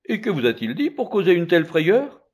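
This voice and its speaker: A man's voice: high-pitched, wildly swooping pitch, brisk, a little mellow, husky, pitched above the performer's own voice, exaggerated voice. A man voicing a pair of attendant women who speak in an anxious, reproachful manner. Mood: alarmed. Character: two gossiping attendant women, fearful.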